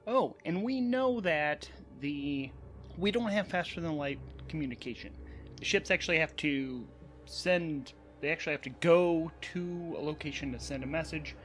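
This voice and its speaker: A man's voice: fairly high pitch, normal speed, a bit bright, a little hiss or hum. A man hosting and narrating a solo tabletop role-playing game, thinking aloud as he goes. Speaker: host, narrator and game master. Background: background music.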